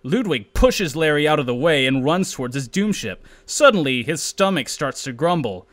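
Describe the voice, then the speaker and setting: Animated, high-pitched male podcast host. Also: normal speed. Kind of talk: casual comedic reading and chat.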